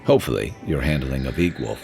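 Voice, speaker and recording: A man's voice: a bass voice, animated, fast, gravelly, a little mellow, full character voice. A man playing a big, easygoing, protective soldier companion in a video game, with a wry manner. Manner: wry, drawling, nautical slang. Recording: background game music.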